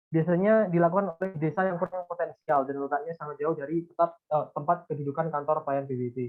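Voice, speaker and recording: A young man giving a formal student presentation, reading prepared answers in halting phrases over tinny, phone-like call audio. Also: fairly high pitch.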